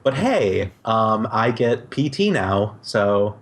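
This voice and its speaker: A man's voice: on the low side, big pitch swings, slow pace. A man chatting casually on a podcast.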